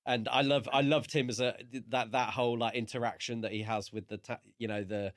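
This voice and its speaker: A man's voice: medium pitch, fairly even intonation, a little fast, no echo, studio quality. A man co-hosting a podcast, talking casually and thoughtfully about a book. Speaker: podcast co-host.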